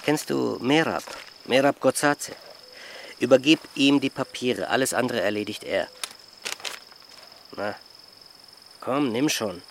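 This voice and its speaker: A man's voice: mid-range voice, takes it slowly, gravelly, pauses often, a little hiss or hum. An actor playing a terse, authoritative man giving instructions in a radio drama. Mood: terse, commanding. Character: curt, commanding man instructing a village girl.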